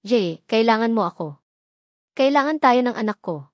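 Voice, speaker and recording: Proud, scornful young woman, mocking and sharp-tongued, read by a woman storyteller. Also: fairly high pitch, lively intonation, normal speed, dry recording, studio quality, close to an everyday voice. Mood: desperate. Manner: sneering, mocking.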